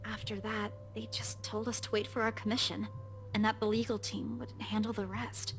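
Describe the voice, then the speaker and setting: A woman's voice: medium pitch, level tone, moderate pace, soft background music, lightly acted. An actress playing an earnest, bluntly teasing young woman in a visual novel game. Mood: guarded, troubled.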